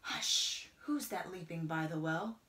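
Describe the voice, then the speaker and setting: A middle-aged woman reads a picture book aloud to children in a rhythmic, singsong way, often dropping into hushed whispers. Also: sits low, slow. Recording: roomy indoor echo.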